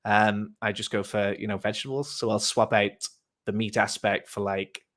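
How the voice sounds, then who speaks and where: A British man with a fairly low voice chats informally, like a host giving advice. He is friendly and encouraging, persuading in an easygoing, casual style. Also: flat delivery, normal speed, a bit bright. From Wales. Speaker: host, vegan activist. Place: online voice chat.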